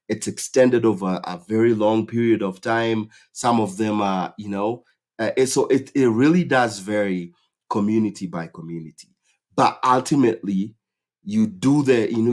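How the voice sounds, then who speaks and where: Male host from Kenya, low-ish voice, relaxed explainer with frequent restarts. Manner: rambling, many fillers and restarts. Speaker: YouTube channel host. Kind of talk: casual explainer talk to camera.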